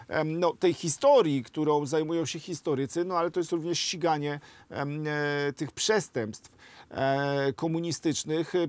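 A male TV host questioning a guest from the studio, his voice sitting fairly high for a man. The sound is close and dry, and he builds long questions with small pauses. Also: dry recording. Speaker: TV news interviewer.

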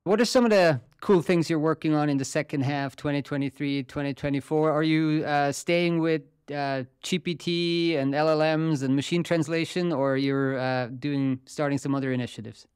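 A man in his thirties hosts a podcast from a home office, speaking into a studio mic. His voice is very smooth and clear, slightly higher than most men's, and the sound is dry. He asks loose questions and rephrases them as he goes. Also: fairly even intonation, moderate pace, few pauses, a little mellow, no echo. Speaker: podcast host. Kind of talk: interview questions. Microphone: studio mic on arm.

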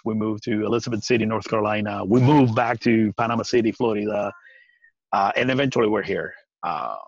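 Man, 40s, from Puerto Rico, low voice, earnest and halting, dry lo-fi webcam sound. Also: no echo. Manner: earnest, halting, many restarts, laughs often. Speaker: guest. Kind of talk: casual video-call interview. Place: outdoors on a deck by trees. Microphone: video call webcam.

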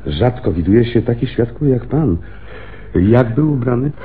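An actor playing a genial, probing police lieutenant in a radio play, conversational, with little acknowledging hums. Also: male voice, mid-range voice, expressive, measured pace, lightly acted. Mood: impressed, probing. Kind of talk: Polish radio play acting. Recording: muffled, phone-like noisy radio recording.

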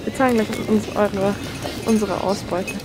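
A woman's voice: medium pitch, steady tone, measured pace, over loud music. A young woman from Germany chatting casually on a travel vlog, amused and talkative, filmed on a handheld camera in a car and a supermarket. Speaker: vlogger. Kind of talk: casual chat. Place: car, supermarket and parking lot. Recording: handheld camera with loud street and store noise.

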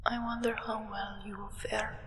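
A young woman playing an aloof, solemn acolyte of a vampire sovereign in a soft whispered ASMR roleplay. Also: medium pitch, level tone, vocal fry, a little hiss or hum. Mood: coolly disdainful. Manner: slow, measured, soft whisper. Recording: reverberant whisper with long echo.

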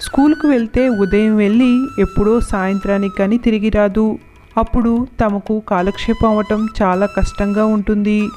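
Woman as an adult son, dismissive, evasive, monotone, dark mellow timbre, storybook dialogue. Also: medium pitch, moderate pace, faint music behind, close to an everyday voice.

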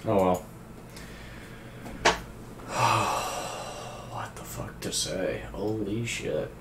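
A young man with a very low, naturally raspy voice, talking slowly and easily in casual reaction commentary. He swears freely and praises earnestly. His voice rings around a roomy room with a faint hiss behind it. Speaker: music reaction host. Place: indoor room at a streaming desk.

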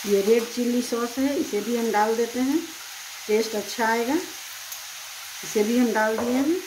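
A woman's voice: fairly high pitch, fairly even intonation, measured pace, background noise. A woman hosting a home cooking channel, explaining each step plainly in her kitchen. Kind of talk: home cooking demonstration voice-over.

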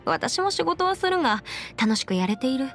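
A woman's voice: sits high, sing-song, moderate pace, a bit bright, exaggerated voice. A woman voicing a confident, clever young female legal advisor in an anime game, articulate and slightly boastful, with playful pride. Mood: admiring. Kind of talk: Japanese anime game character voice lines. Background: music.

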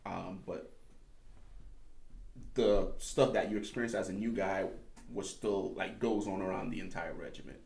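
A man's voice: deep voice, steady tone, unhurried, gravelly, long pauses, a little mellow. A male host in a casual interview, laid-back, mostly nodding along with short agreements and casual swearing. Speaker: podcast host. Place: small studio set at a table. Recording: echoey studio room with faint noise.

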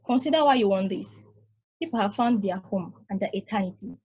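A young woman reading a weary philosophical space probe, husky. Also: medium pitch, a little fast. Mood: reflective. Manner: calm, reflective monologue. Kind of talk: amateur table read.

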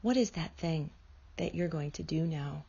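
A woman leading a guided meditation in a slow, soothing way, often dropping into soft whispers. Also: sits low, gravelly, husky, dull audio.

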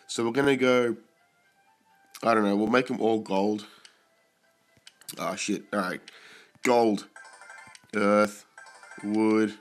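Slow-talking sick young man, gameplay commentary. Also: on the low side, soft background music. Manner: excited, swears at big pulls. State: sick, throat-clearing. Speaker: mobile game YouTuber.

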